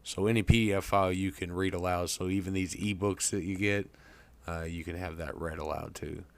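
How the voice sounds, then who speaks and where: A man presenting a casual software tutorial in a folksy, informal way. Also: low voice, fairly even intonation, a little fast. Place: indoors at a computer. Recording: clear background noise.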